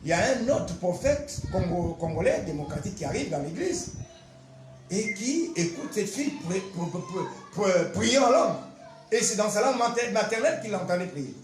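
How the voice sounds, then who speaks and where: High-voiced pastor, roomy echo. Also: male voice, big pitch swings, normal speed. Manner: emphatic, repetitive storytelling. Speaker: pastor giving testimony. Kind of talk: animated testimony and Bible teaching. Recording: roomy echo, faint music behind.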